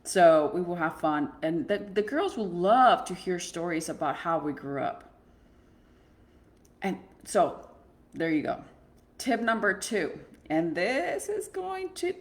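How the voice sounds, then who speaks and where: A woman's voice: medium pitch, sing-song, slow pace. A middle-aged woman from Mexico, hosting a talk show and giving parenting advice as a therapist, speaking earnestly and conversationally, often restarting her words. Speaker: host and therapist.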